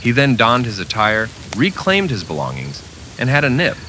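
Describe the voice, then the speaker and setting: A man's voice: mid-range voice, wildly swooping pitch, moderate pace, pushed and bright, a little hiss or hum, rough recording. A man narrating a scripted old-time western radio drama with dramatic storytelling delivery.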